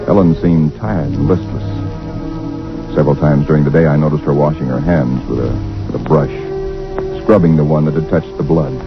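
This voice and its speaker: A shaken young husband telling his tale. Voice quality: deep, tense voice with nervous stammers. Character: frightened young husband narrating his ordeal. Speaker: narrator and lead character. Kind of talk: 1940s radio drama acting. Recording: dull old radio broadcast with loud music.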